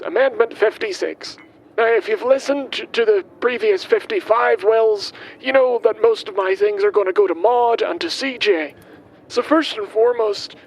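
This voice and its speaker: An actor playing a kindly, guileless milkman in an audio drama, rambling haltingly as he records his will. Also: high-pitched, breathy edge, low-quality recording. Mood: earnest, rambling. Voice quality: hesitant, halting delivery. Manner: chatty, guileless, wandering off on tangents. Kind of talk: audio drama monologue, spoken as a voice diary. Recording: faint vehicle noise behind.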